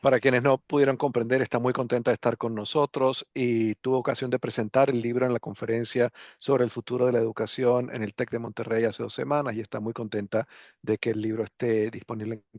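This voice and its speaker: A man speaking as a book author on an online panel, measured and deliberate, also summing up a colleague's words for the audience. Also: medium pitch, level tone, darkish tone, no room sound. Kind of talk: panel discussion remarks.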